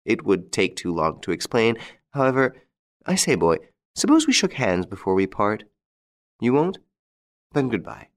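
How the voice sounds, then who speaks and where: Cool, wry rogue mocking his killers, read by a male narrator, low-ish voice with a lively lilt. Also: normal speed, pauses often. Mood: dry calm. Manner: calm, dry, mocking. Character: wry, unflappable gentleman thief, male adult.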